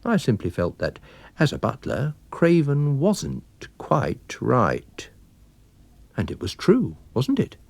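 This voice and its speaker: Guy playing polite wine salesman sleuth in an audiobook story, really animated pitch swings, dry close sound. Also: slow pace, gravelly, no echo, exaggerated voice. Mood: pleased, reflective. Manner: polite, tactful, gently pointed. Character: affable, observant wine salesman turned amateur sleuth, male. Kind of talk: dramatised audiobook reading.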